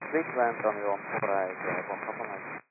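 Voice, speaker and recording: A male pilot reads back clearances through a phone-like radio link with clear static. He is medium in pitch and talks in procedural radio phrases. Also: fairly even intonation, moderate pace. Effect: radio transmission. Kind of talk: radio readbacks to air traffic control.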